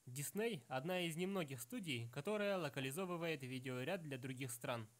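A man's voice: on the high side, brisk. A man delivering scripted commentary as a film review narrator.

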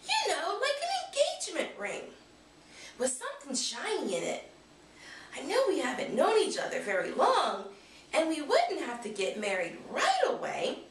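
Woman playing an earnest, excitable janitor, high-voiced. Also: moderate pace, a little hiss or hum. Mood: earnest, confiding. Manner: chatty, hesitant, confiding. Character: earnest, excitable middle-aged male janitor in love. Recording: roomy, distant camera microphone.

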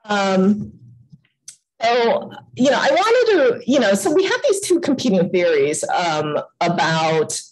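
A woman's voice: medium pitch, sing-song, slow pace, a little mellow, low-quality recording. A woman giving an online lecture over a video call, talking casually with many fillers and restarts. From United States.

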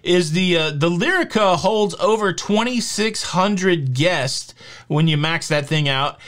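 A man's voice: a little high, a bit bright. Chatty upbeat man hosting cruise news. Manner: chatty, upbeat, jokey with filler words. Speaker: host. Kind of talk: casual cruise news vlog. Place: home studio with shelves of collectibles.

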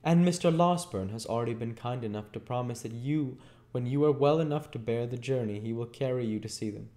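A man voicing a kindly, genteel young lady in a storybook reading, gentle and gracious in a formal way. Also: medium pitch, brisk, voice pushed up, lightly acted. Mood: reassuring.

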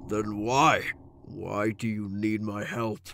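A man's voice: fairly low pitch, fairly even intonation, slow, vocal fry, background noise. A man voicing a weary, sardonic skeleton in a comic dub, casual, sarcastic and defiant. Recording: faint music behind.

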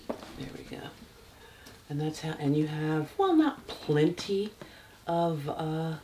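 A soft-spoken woman in her fifties, casually showing a handbag in her bedroom with halting speech and many fillers. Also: low voice, noisy background. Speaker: handbag vlogger. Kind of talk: casual product demo. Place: bedroom, seated on a bed. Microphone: external camera mic.

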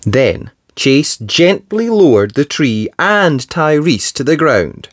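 A storybook reader narrating for young children in an expressive, theatrical, emphatic way. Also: mid-range voice, slow, no echo. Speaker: storybook reader, channel host. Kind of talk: animated children's read-aloud narration.

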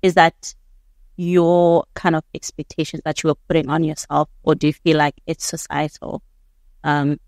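A woman hosts a relaxed podcast chat, her voice sitting a little low, asking thoughtful questions and nudging her guest along with gentle affirmations. The recording is close and dry, from a desk-stand mic in a small living room. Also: moderate pace, dry recording. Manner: encouraging, reflective questioner with backchannels. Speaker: podcast host. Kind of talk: casual podcast interview. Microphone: studio mic on a desk stand.

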